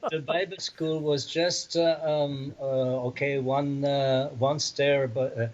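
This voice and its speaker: A man in his seventies, an interview guest on a video call, speaking haltingly with laughs between phrases. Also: mid-range voice, little pitch movement, a little mellow, muffled recording.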